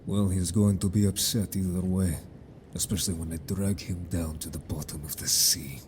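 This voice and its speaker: A man's voice: sits low, steady tone, normal speed, a little mellow, a little hiss or hum, lightly acted. A man playing a stern but caring, protective merman in a fantasy audio drama, speaking firmly and formally. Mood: stern, upset. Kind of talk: scripted audio drama acting.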